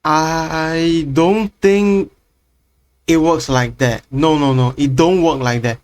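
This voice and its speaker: A man's voice: a little high, steady tone, slow pace, smooth. A man hosting a reaction video, commenting bluntly and casually, swearing and explaining gear. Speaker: reaction channel host.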